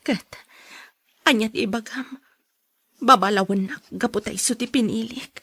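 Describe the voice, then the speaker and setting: A woman acting as a betrayed young wife with a very high voice, close and dry. She is hurt and tearful, performing in an audio drama. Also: moderate pace, creaky, a bit bright, slightly rough, dry recording, heavily acted. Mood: sad, hurt.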